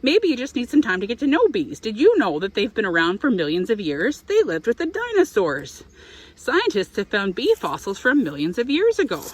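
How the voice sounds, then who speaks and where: Animated, high-voiced woman reading to children. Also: normal speed. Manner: expressive, explaining to young children. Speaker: beekeeper reading a children's book aloud. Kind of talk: picture-book read-aloud with explanatory asides. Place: outdoors in an apiary.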